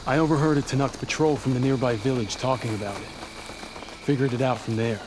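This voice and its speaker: A man's voice: medium pitch, steady tone, fast talker, noisy background, lightly acted. An actor playing an earnest, guilt-worn male fugitive in a naturalistic video game performance, somber in manner.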